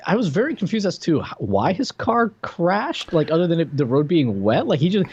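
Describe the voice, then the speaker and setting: A man co-hosting a podcast, chatting about a TV episode with animated asides, filling his speech with "like" and "you know". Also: a little high, brisk, husky. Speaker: podcast co-host. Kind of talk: casual chat recapping a TV episode. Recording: dull lo-fi sound.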